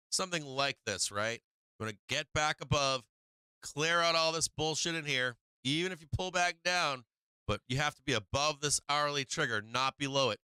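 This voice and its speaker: A man hosting a live stock market stream, talking viewers through charts in a rambling, casual way, his voice going a little hoarse. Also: a little high, sharp tone, no room sound. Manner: rambling, talks to viewers while walking through charts, many fillers. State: losing his voice.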